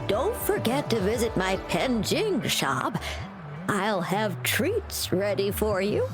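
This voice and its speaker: A woman's voice: mid-range voice, sing-song. A woman playing a kindly, modest old grandmother florist in a game, speaking fondly and without hurry. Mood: fond, helpful. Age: older. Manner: affectionate, unhurried storytelling. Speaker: flower shop keeper. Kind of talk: scripted game dialogue. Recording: music behind the voice.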